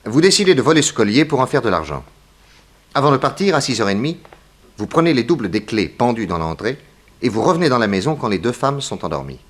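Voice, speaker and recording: Commanding male detective, very animated delivery. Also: mid-range voice. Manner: theatrical, commanding, deliberate accusatory delivery. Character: shrewd, authoritative male detective confronting suspects. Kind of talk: 1960s French radio mystery acting.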